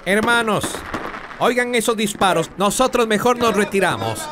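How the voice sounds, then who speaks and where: A man's voice: high voice, lively intonation, normal speed. An actor playing a fearful, cautious, pious man in a radio soap opera. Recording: clearly noisy ambience.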